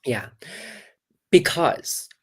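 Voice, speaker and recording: A woman voice coach talking casually on a video call, animated and demonstrative, with many fillers and restarts. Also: very slow. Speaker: voice coach guest. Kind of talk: casual interview, teaching.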